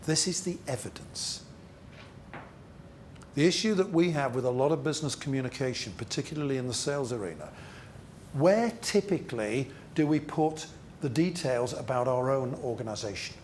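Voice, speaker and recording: Male seminar trainer, medium pitch, emphatic and repetitive for effect, interactive teaching style, light background noise. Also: lively intonation, a little mellow. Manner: emphatic, rhetorical questions and deliberate repetition. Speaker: business presentation trainer.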